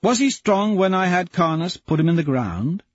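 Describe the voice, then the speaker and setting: A man's voice: high-pitched, lively intonation, moderate pace, no echo, highs cut off, pitched above the performer's own voice. A man voicing a sneering cruel young nobleman. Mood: cold gloating. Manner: mocking, cold, scornful.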